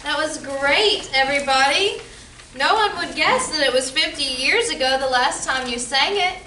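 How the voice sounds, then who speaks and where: A woman's voice: high-pitched, moderate pace, sharp tone. A woman playing a cheeky, chirpy bartender in an amateur old-time radio play. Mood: bright, cheerful. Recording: roomy, distant mic, faint noise.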